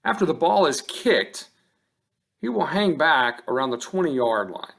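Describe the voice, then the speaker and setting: Man narrating with higher, lively pitch. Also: measured pace, gravelly. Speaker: football officiating instructor. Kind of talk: scripted instructional narration over game film.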